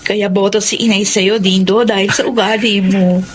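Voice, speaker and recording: A woman playing a gentle but firm young woman in a Filipino radio drama. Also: medium pitch, flat delivery, moderate pace, a little hiss or hum, natural voice. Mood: respectful.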